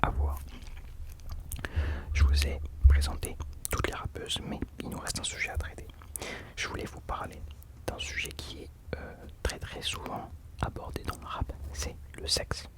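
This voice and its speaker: Young guy whispering an asmr chat, kinda hesitant, feeling around for words. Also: measured pace. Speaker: ASMR video host. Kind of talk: ASMR, whispered casual commentary.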